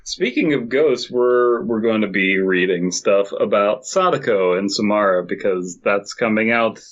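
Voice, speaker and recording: A man's voice: lowish voice, measured pace, highs cut off. A man co-hosting a podcast with casual comic banter and rambling, joking riffs. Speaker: podcast co-host.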